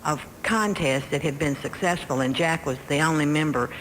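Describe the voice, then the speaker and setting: A woman's voice: low voice, normal speed. A middle-aged woman, reminiscing anecdotally as a guest in a radio interview. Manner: anecdotal, quoting remembered conversations. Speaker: interview guest, music publishing executive. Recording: noisy, hall-like reverberant radio aircheck.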